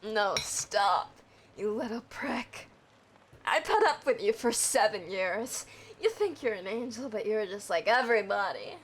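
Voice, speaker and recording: A woman reading a young woman caught between her partner and her lover, in an amateur script read-through. Her voice sits at an everyday middle pitch, and she delivers the lines plainly and flatly, without much color. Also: unhurried, a little hiss or hum, lightly acted. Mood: cold. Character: young woman torn between two men.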